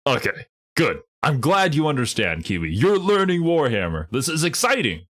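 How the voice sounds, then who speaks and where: A man's voice: mid-range voice, wildly swooping pitch, moderate pace, a bit bright, a little hiss or hum. A man hosting a casual livestream, bantering and commenting with teasing, playful exasperation. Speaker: host reacting to game trailers with a friend. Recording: music behind the voice. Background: music.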